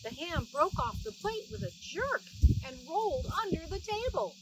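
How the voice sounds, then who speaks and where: A woman's voice: extremely high pitch, big pitch swings, normal speed. A middle-aged woman reads a children's story expressively and explains things simply for young listeners. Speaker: children's read-aloud narrator. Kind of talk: expressive storybook reading with plain asides to explain words. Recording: distant mic with faint background noise.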